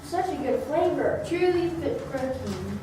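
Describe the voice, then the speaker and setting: Woman playing a high-pitched suspicious monster villager, echoey. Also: expressive, measured pace, warm tone. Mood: pleased. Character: suspicious, stingy monster townsfolk. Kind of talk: amateur reader's theater. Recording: very echoey room, distant mic, faint noise.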